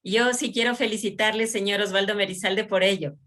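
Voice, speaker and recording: A woman interviewing in an effusive, halting way, often repeating phrases. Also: medium pitch, fairly even intonation, a bit bright. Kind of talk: conversational interview.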